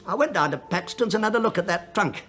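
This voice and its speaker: Sly, animated British police inspector. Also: male voice, high voice, quick pace, soft background music. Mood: wry, teasing. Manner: wry, mock-deferential, feigned absent-mindedness. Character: sly, needling, penny-pinching male police inspector. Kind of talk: British radio play acting.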